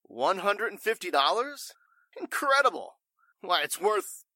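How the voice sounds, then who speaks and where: A man's voice: high-pitched, very animated, unhurried, bright, forceful tone, dry recording, exaggerated voice. A man playing a flustered, evasive warehouse owner in 1940s radio drama style, stammering and speaking with stuffy formality. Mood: polite, dismissive.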